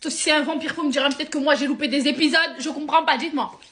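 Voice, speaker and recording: A young woman from France telling a sarcastic, animated story straight to her viewers. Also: high voice, very fast. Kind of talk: casual storytime monologue.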